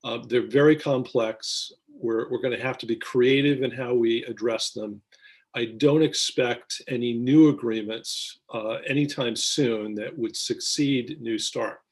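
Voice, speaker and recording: An American man giving measured expert commentary as a foreign policy analyst in an interview, speaking in a halting, deliberate way with frequent ums and pauses. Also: mid-range voice, steady tone.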